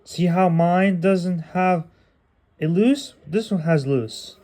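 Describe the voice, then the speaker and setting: Male unboxing vlogger, slightly high pitch, very slow and halting, casual demonstration style. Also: warm tone. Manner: halting, short phrases, non-standard grammar. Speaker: unboxing video creator. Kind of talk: casual unboxing vlog, talking through a demonstration.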